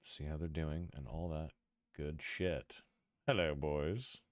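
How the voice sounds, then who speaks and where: A man's voice: extremely low pitch, steady tone, slow, no echo, clean studio sound. A man with a stuffy nose from a cold hosts a casual chat before a tabletop game, laid-back and joking. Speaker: host. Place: dark room at home.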